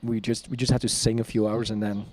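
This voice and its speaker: Guy chatting on his vlog, warm mellow voice, lots of ums and laughs. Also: on the low side, level tone, fast talker. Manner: hesitant, chatty, laughs often. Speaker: vlog host, singer-songwriter. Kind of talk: casual conversation.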